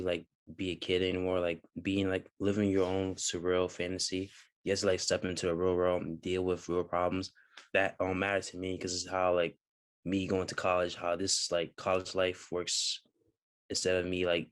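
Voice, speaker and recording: A young man, a program alumnus, speaking haltingly with many filler words. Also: sits low, smooth. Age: young adult.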